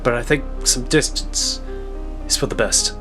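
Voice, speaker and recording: A man's voice: medium pitch, unhurried, sharp tone, soft background music, pitched below the performer's own voice. A man playing an earnest, guarded young superhero in an audio drama, subdued and a little hesitant. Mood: hurt, firm.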